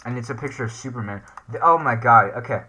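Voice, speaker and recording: A man's voice: mid-range voice, fast, bright, forceful tone, husky, rough recording. A man hosting a casual reaction video, hesitantly thinking aloud as he comments and reads text off the screen. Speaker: YouTube reaction host.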